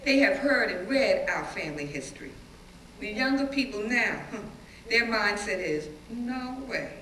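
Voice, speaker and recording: A woman performing a dramatic stage reading as a defiant, plain-spoken schoolteacher recalling riots, with animated, emphatic storytelling and dramatic pauses. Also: medium pitch, recorded from a distance. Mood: plain-spoken reminiscing. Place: echoey indoor room, live reading before an audience. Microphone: distant microphone. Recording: roomy, noisy hall recording from afar.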